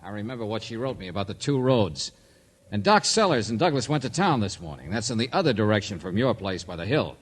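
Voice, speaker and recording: An American man playing a tough, wry private investigator in old radio drama style, clipped and commanding, with a husky voice. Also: lowish voice, big pitch swings, fast. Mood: needling. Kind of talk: 1940s-50s American radio drama acting.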